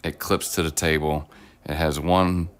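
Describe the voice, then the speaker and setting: A middle-aged man hosting a casual livestream from his home table, rambling and self-deprecating, his voice deepened while getting over an illness, recorded on a phone. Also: level tone, unhurried, clean tone. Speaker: streamer host. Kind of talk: casual livestream chat while painting miniatures. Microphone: phone on a clamp arm.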